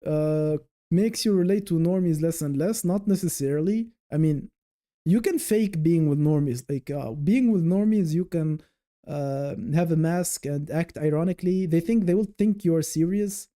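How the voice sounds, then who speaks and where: A man's voice: on the high side, normal speed, dark tone. A man hosting a casual livestream, rambling and thinking aloud as he chats with viewers. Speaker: livestream host.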